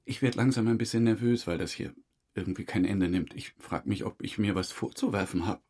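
A man's voice: on the low side, a little fast, a little mellow, natural voice. A man acting a stubborn murder suspect in a crime audio drama, defensive, curt and evasive. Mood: uneasy.

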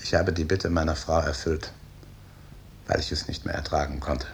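An actor playing a stern, brooding, guilt-ridden professor and clinic chief in a 1950s German radio drama, speaking with emphatic authority. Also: male voice, very deep voice, flat delivery, normal speed, a little hiss or hum. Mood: grave confession. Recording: early 1950s radio studio recording.

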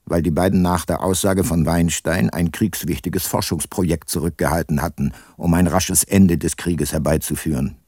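An actor playing a weary, guilt-laden ageing private detective and former prosecutor in a radio play, sober and reflective. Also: male voice, sits low, dark tone, dry recording, clean studio sound. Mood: remorseful, reflective. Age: older. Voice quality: husky. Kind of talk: first-person radio play narration and dialogue.

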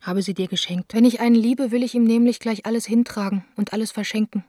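Anna, a mellow-voiced, plaintive young woman played by an actress. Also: on the high side, steady tone, fast talker, no echo, studio quality, close to an everyday voice. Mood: hurt, longing. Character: Anna, impulsive, hurt young woman painter in love. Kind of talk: radio drama letter reading.

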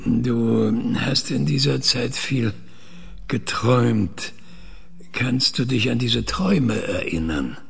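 Male scientist for radio drama: calm, controlling, very husky, slow and deliberate. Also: mid-range voice, full character voice. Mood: calm, probing. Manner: measured, deliberate. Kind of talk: German radio play dialogue acting.

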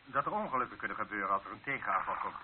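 A man's voice: on the low side, lively intonation, racing, natural voice. An actor playing a worried, dignified middle-aged father, speaking with formal restraint in a radio drama. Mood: worried, deferential. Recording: dull narrow-band old radio recording with hiss.

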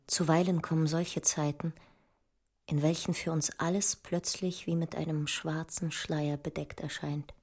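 Female, husky low register a melancholy, passionate Russian intellectual from the 1800s; poetic, earnest radio-drama delivery. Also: little pitch movement, a little slow, a little mellow. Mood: mournful. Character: 19th-century Russian woman mathematician, passionate, melancholy, mourning. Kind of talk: theatrical radio drama monologue and dialogue.

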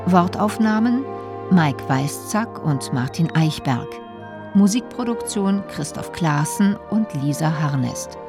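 Low, creaky female announcer over music. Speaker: announcer of cast and credits. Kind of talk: formal read credits announcement.

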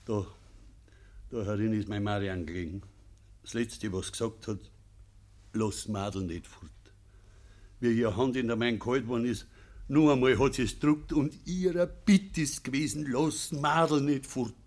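An actor playing a stubborn, hot-tempered farmer with a Bavarian accent in a radio drama, gruff and commanding. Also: male voice, medium pitch, normal speed, long pauses, darkish tone, close to an everyday voice. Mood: grieving, subdued. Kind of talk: German radio drama acting in dialect.

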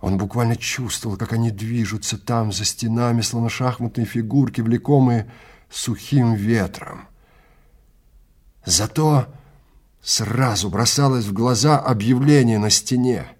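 A man with a medium-pitched voice narrates a literary audio play. His delivery is slow and theatrical, broken into deliberate phrases with pauses between them. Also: darkish tone, breathy edge. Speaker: narrator and actor.

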